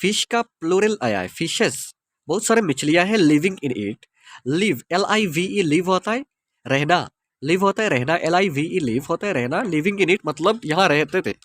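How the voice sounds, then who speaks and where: A man's voice: on the high side, expressive, unhurried, fairly bright tone. A man with an Indian accent, a Hindi speaker, teaching English by explaining a story line by line and spelling words out letter by letter.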